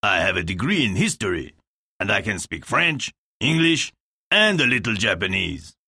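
A man giving lesson instructions and reading scripted dialogue, clear and deliberate. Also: on the low side, very animated, sharp tone, dry recording, clean studio sound. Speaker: lesson narrator and dialogue reader.

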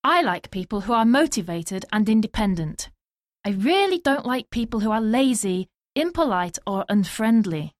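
Woman reading lesson dialogue, slow and clear, lots of pitch movement. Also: animated, no echo, clean studio sound. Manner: slow, clearly spoken textbook delivery. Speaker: dialogue reader in a language lesson.

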